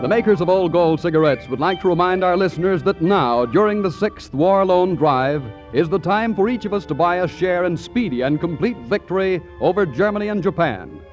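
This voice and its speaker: A man's voice: sits high, lively intonation, a little fast, hardly any pauses, soft background music. A man with an American accent making formal, emphatic announcements as a 1940s radio announcer. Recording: dull 1940s radio broadcast.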